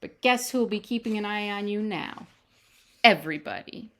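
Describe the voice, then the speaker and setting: A woman's voice: mid-range voice, animated, moderate pace, a bit bright, lightly acted. A woman voicing an earnest, polite young storybook child with a questioning lilt and exaggerated politeness. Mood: gently scolding.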